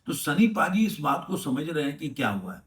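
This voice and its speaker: A man's voice: a little high, very animated, fairly bright tone. Echoey, distant man commenting. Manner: halting, repetitive, rephrasing as he goes. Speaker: film news commentator. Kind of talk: unscripted commentary. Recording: echoey room, distant microphone.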